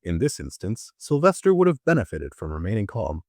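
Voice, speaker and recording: Train conductor, played by a man: lowish, very animated, didactic and wry, audio-drama lead, dry close sound. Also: fairly quick, no echo, heavily acted. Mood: instructive. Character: calm, instructive male train conductor, theatrical and wry. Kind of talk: audio-drama character dialogue.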